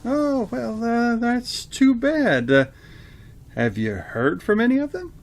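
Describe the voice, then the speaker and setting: A man acting as a genial but creepy radio theater host, with a theatrical, smoothly polite delivery. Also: very high-pitched, big pitch swings, slow pace, full character voice. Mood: casually polite. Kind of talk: old-time radio host introduction and acting.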